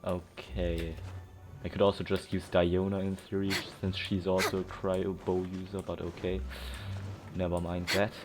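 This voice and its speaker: Man narrating his own gameplay, low voice, thinks out loud, loud game music behind. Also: level tone, moderate pace. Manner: rambling, thinking aloud. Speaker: gameplay commentator. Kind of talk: casual gameplay commentary.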